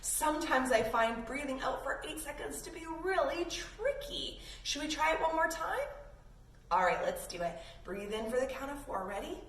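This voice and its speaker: A woman's voice: high voice, expressive, normal speed, a bit bright. A woman instructing young children in yoga in a playful, encouraging way. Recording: roomy, distant mic, faint noise.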